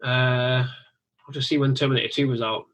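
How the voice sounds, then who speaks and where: A man's voice: mid-range voice, fairly even intonation, a little fast, a bit bright, dull audio. A man chatting casually on a podcast about action films, swearing freely. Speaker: podcast guest. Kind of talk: casual film chat.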